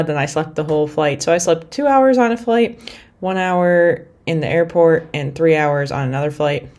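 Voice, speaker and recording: A woman's voice: sits low, a little mellow. A young woman from the United States rambling casually in a travel vlog, full of fillers like "like" and "you know". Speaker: vlogger.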